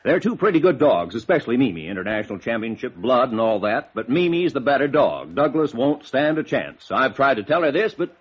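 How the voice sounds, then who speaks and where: An actor playing a breezy, cocky male dog-show expert, a brash and slangy pal with a raspy voice, in 1950s radio drama. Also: mid-range voice, very animated, fairly quick, hardly any pauses. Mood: brisk explaining. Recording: muffled vintage radio recording.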